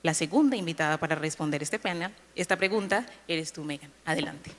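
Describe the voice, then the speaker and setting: A woman's voice: deep voice, fast talker. A woman moderating a panel in a polite, formal manner.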